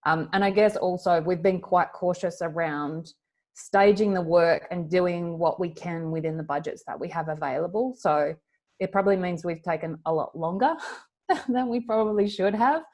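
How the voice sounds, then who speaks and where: A woman founder answering panel questions on a video call in a measured, matter-of-fact way. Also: fairly low pitch, darkish tone.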